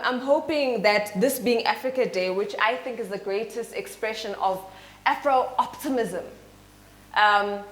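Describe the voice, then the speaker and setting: Woman, panelist, reflective, hopeful, mid pitch, echoey. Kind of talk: panel discussion. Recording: echoey room.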